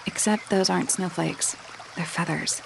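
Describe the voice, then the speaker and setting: A woman playing a blunt, observant detective's sidekick with deadpan, laconic delivery in a mystery adventure game. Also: fairly low pitch, normal speed, gravelly, background noise. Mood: blunt, unimpressed. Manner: deadpan, laconic, matter-of-fact.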